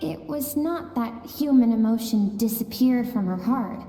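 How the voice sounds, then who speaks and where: An actress playing a gentle, wistful female storyteller in a video game, narrating softly with echoing reverb. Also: fairly high pitch, lively intonation, a little slow, a little hiss or hum. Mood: somber, reflective. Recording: echoing, long reverb over music.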